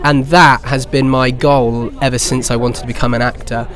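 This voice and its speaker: A man's voice: medium pitch, flat delivery, normal speed, a bit bright, a faint crowd. A man in his twenties from southern England with an English accent, answering interview questions casually as an actor. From Bournemouth, England. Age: 20s. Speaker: pantomime actor.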